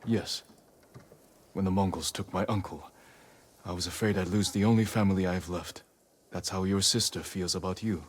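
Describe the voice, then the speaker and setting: A man playing a stoic, honorable samurai lord in a video game, grave, measured and terse. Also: low voice, fairly even intonation, husky. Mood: calm, reassuring. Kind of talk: dramatic video game acting.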